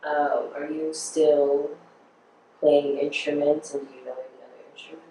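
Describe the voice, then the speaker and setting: A woman's voice: deep voice, flat delivery, normal speed, warm tone. A woman asking casual questions as an interviewer. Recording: echoey room, distant mic, faint noise.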